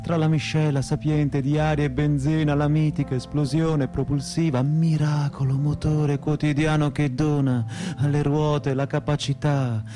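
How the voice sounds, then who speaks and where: A man's voice: on the high side, flat delivery, normal speed, warm tone, soft background music, close to an everyday voice. An actor playing a frustrated, self-mocking perpetual student in his thirties in a theatrical, rhetorical radio monologue. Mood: mock-lyrical wonder. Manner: rhetorical, repetitive, shifting between lofty lyricism and crude bluntness.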